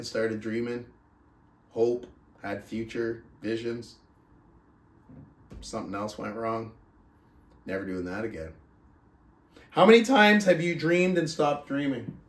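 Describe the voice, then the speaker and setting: A man who speaks as a motivational book club host, reading aloud and coaching in an emphatic, deliberate, rhetorical manner. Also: medium pitch. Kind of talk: audiobook-style reading aloud and motivational talk. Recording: roomy, echoing sound.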